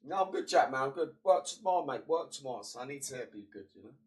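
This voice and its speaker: A man's voice: mid-range voice, animated, quick pace. A young man with a stuffy, half-gone voice rambles matily over his football management game. He sits some way back from the mic, so he sounds a little distant. His voice sits in a middling register. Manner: chatty and matey, muttering to himself between bursts. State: congested, voice partly gone. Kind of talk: casual gaming commentary.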